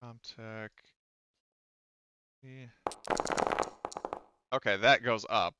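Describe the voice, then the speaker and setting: A man casually running a tabletop roleplaying game as game master, halting and thinking aloud as he goes. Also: mid-range voice, fairly even intonation, highs cut off, background noise.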